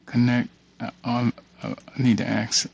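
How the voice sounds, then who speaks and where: A man giving a casual screen-share walkthrough, thinking aloud in a halting mutter and reading text off the screen. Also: medium pitch, monotone, creaky, very noisy. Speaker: tutorial presenter. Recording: dull, muffled lo-fi audio.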